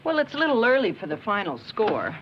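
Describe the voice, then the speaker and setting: An actress playing a confident, assured sales manager, in a 1980s training film. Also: female voice, mid-range voice, huge pitch swings, brisk. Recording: muffled film soundtrack with hiss.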